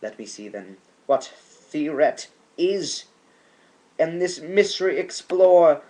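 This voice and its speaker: A troubled, grieving scholar alone at midnight, acted out by a man reading a poem. The voice is fairly high and emphatic. The archaic words come out theatrical, fearful and courteous. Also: expressive, slow, darkish tone. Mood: agitated, urgent.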